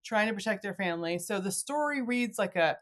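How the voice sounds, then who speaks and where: A woman's voice: medium pitch, animated, brisk. Chatty middle-aged woman hosting a podcast. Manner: chatty, informal, acts out imagined remarks. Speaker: podcast host. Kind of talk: casual chat.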